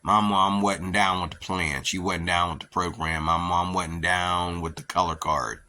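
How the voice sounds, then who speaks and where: A man's voice: deep voice, level tone, normal speed, bright, forceful tone, a little hiss or hum. A man from near Pittsburgh with a Pittsburgh accent, rambling and repeating himself emphatically in a casual monologue. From near Pittsburgh, USA. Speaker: vlogger.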